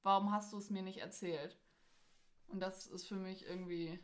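A young adult woman co-hosting a podcast in casual, expressive and giggly conversation. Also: medium pitch, moderate pace. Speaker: podcast co-host.

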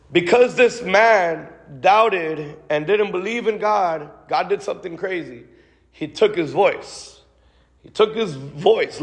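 A man with a fairly high voice preaching a sermon and leading prayer. He talks casually, with restarts and asides, and tags his prayer with "God" and "Lord." His voice rings with the long echo of a big hall. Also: creaky. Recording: long reverb of a large hall.

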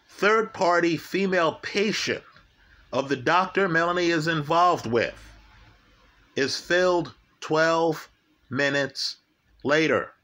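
A man's voice: on the high side, a bit bright. A man talking through a criminal case, stressing key facts and checking in with his listeners. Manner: slow, emphatic, repeats key facts and asks "right?". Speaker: true crime commentator. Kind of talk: true crime case commentary.

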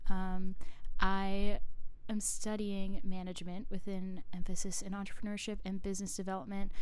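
Canadian woman, early twenties, podcast host; smooth, clear voice, friendly rambling chat. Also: medium pitch, dry recording. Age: 20s. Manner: chatty, friendly, many ums and little laughs. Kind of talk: casual solo podcast chat.

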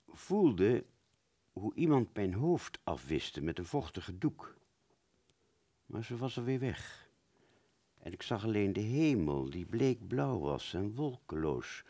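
A man's voice: on the low side, creaky, warm tone, breathy edge, no room sound, exaggerated voice. A man playing a rambling, agitated wartime fighter pilot who relives battle in a stammering, dramatic radio play monologue.

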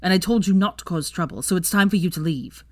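A stern man in a story, standing up to an old friend, as read by a woman. The delivery is firm and forceful, recorded very dry. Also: lowish voice, lively intonation, fairly quick, dry recording, natural voice. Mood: stern, rising anger. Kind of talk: dramatised fan fiction reading.

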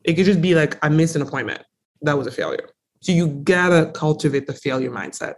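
A young adult woman from Congo, a native French speaker, telling her story as an interview guest in a casual, emphatic and animated way. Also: low-pitched, a little fast, gravelly, a little hiss or hum. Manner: emphatic, animated, frequently stresses words. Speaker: guest entrepreneur.

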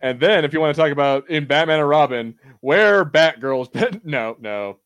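A man's voice: on the high side, normal speed, fairly bright tone, clean tone. A man co-hosting a casual movie watch-party podcast, bantering and swearing freely. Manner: frequent swearing. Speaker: podcast co-host.

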